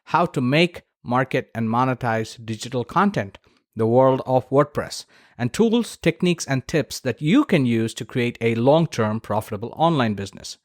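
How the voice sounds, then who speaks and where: A man's voice: mid-range voice, lively intonation, moderate pace, no room sound, clean studio sound. A man hosting a solo podcast, explaining things conversationally with casual, emphatic asides to the listener. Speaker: podcast host.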